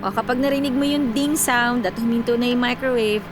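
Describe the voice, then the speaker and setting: An actress with a Filipino accent playing a devoted, hardworking young migrant worker and encouraging best friend in a radio drama. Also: female voice, a little high, fairly even intonation, a little fast, over music, natural voice. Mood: warm, caring. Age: young adult.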